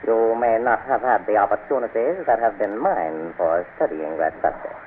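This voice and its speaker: An actor playing a gloating, cultured criminal mastermind with slow, mock-courteous menace in an old radio serial. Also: male voice, lowish voice, lightly acted. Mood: cold disdain. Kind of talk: 1930s-40s radio serial acting. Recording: tinny, noisy old radio broadcast.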